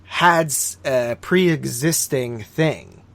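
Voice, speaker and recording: A man's voice: mid-range voice, extremely slow, creaky, fairly bright tone, no room sound. A man co-hosting a film review podcast, debating casually in an insistent, teasing, emphatic way. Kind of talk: casual argumentative chat.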